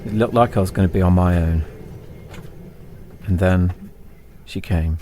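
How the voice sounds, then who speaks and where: A middle-aged English man tells an autobiographical story in a reflective way, phrasing it deliberately in short lines. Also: deep voice, dark tone, slight background noise. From England. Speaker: podcast host and narrator. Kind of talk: autobiographical storytelling, scripted narration. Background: instrumental music.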